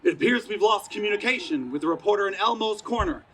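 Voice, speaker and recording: Actor playing dismissive radio anchor, high bright male voice, theatrical, panicky, mock stage broadcast. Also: quick pace, husky, rough recording. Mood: dismissive, grim.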